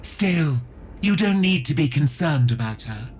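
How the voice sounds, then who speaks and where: A sly, disdainful alien commander in a video game. He talks deliberately and scornfully with lively swings of pitch, over faint noise. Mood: cold, persuasive. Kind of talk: dramatic video game acting.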